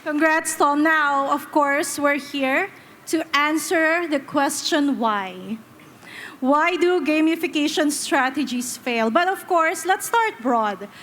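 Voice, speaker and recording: High-pitched woman hosting a panel, excited, faint room hum behind. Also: slow. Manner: upbeat, enthusiastic. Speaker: panel moderator, journalist. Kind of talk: conference panel moderating. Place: conference stage.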